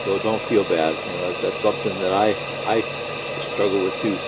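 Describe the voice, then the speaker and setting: A man's voice: low-pitched, fairly even intonation, moderate pace. A man giving a casual, unscripted how-to demonstration in a folksy, reassuring way, often checking in with small tags like "okay". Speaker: presenter of a CB radio how-to video. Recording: clearly noisy, with static and hum. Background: CB radio static hiss.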